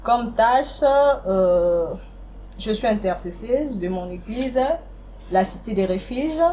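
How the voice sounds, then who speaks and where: A woman's voice: mid-range voice, takes it slowly, mellow, slight background noise. A woman from DR Congo with a Congolese French accent, formally introducing herself in a composed way. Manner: composed, listing her roles. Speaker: guest.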